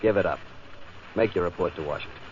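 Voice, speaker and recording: An actor playing a blunt, resigned civilian official in a 1950s radio drama, arguing in a measured, matter-of-fact way. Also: male voice, low voice, natural voice. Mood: resigned, insistent. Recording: dull, hissy 1950 radio recording.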